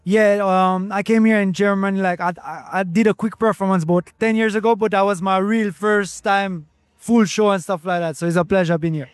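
Male interview guest, French accent, high register, very dry sound, courteous conversational delivery. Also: steady tone, fairly quick, no room sound. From France. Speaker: interview guest, reggae singer. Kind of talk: casual interview chat.

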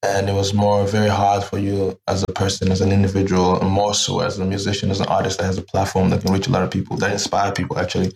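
A man's voice: sits low, completely flat delivery, fast, vocal fry, few pauses, a little mellow, a little hiss or hum. A man from Nigeria, a musician, answering interview questions thoughtfully with many "you know" fillers at a live music event. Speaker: musician guest.

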